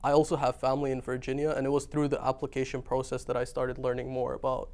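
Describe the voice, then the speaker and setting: Young guy from iraq, student guest, clear smooth voice, pretty flat delivery. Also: mid-range voice, quick pace. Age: young adult. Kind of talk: conversational interview.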